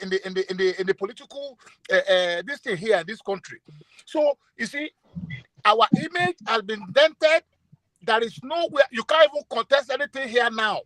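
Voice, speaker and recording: High-pitched, halting Ekiti-accented older man. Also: fairly bright tone, no echo, poor audio. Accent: Nigerian, Ekiti. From Nigeria. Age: 60s. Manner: halting, repeats words, stumbles over phrases. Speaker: call-in speaker. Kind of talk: impassioned online forum talk.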